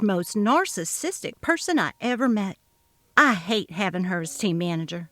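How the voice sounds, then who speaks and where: A woman's voice: mid-range voice, fairly bright tone, dry recording, full character voice. A woman voicing a gossipy, opinionated female coworker, sarcastic and confiding, in a dramatically read story. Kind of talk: dramatic reading of a text-message story.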